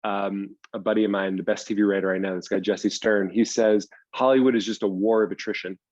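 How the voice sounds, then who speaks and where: A man from the US East Coast talks casually as an interview guest. His voice is on the low side, and the recording is dry and a bit lo-fi. He explains things in an unassuming, self-deprecating way. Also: steady tone, brisk, darkish tone, no echo. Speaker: guest, TV writer and teacher.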